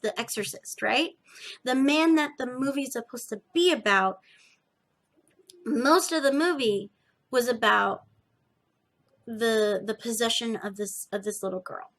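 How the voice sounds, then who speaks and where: Bright, high-voiced young woman. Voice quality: slightly gravelly. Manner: animated, emphatic, self-interrupting. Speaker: podcast host. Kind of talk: casual rambling chat to viewers.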